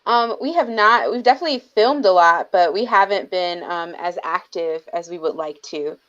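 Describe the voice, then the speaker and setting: A woman in her thirties, from the DC area, talking as a podcast guest in an easygoing, soft-spoken way with frequent ums. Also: lowish voice, moderate pace, lo-fi sound. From DC area, USA. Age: 30s. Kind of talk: casual podcast chat. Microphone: remote call mic.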